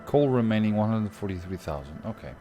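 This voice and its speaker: A man's voice: lowish voice, expressive, a little fast, dark tone, dull audio, soft background music. A young Portuguese man with a Portuguese accent, thinking aloud through a strategy game on stream and chatting with viewers. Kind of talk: live gameplay commentary.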